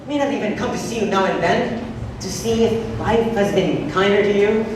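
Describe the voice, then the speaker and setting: A woman's voice: mid-range voice, moderate pace, natural voice. A woman acting a smug, taunting crooked friend in an amateur stage play, with a mocking, theatrical drawl. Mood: sly, insinuating. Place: stage in an echoey hall. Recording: echoey hall, distant mic, noisy.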